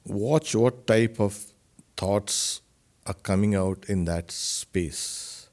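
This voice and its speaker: A man with a lowish voice guides a meditation at a very slow pace. He gives brief, measured instructions as a spiritual teacher would, each phrase set apart from the next. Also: darkish tone.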